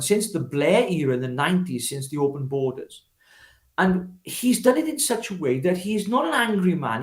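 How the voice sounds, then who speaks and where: A Welsh man with a fairly high voice that swings widely in pitch. He argues politics emphatically and spontaneously, like an orator, repeating himself for weight and posing rhetorical questions. The room around him is noticeably echoey. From Wales. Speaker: political activist guest, former parliamentary candidate. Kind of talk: spontaneous political interview talk.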